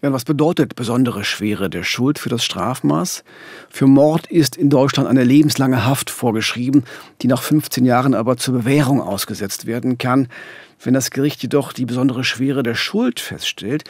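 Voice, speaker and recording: A middle-aged man hosts a true-crime podcast in with a somewhat husky voice. He explains things in a scripted narrating style. The studio sound is close and dry. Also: medium pitch, normal speed, darkish tone, no room sound. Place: small dark podcast studio. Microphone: close studio microphone with headphones.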